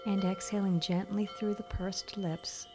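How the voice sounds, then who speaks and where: A woman leading a guided meditation in a soothing, gentle, instructional manner. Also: mid-range voice, flat delivery, measured pace. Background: soft meditation music with chimes.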